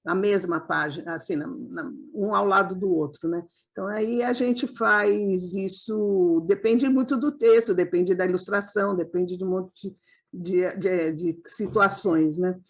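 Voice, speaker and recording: A woman's voice: mid-range voice, a little slow. Affirming woman hosting a panel. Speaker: host. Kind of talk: casual online panel talk.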